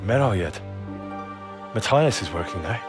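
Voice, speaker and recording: A man's voice: lowish voice, animated, measured pace, over music. An actor playing a respectful, resolute young man in a dramatic medieval game cutscene. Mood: respectful, serious. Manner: respectful, determined.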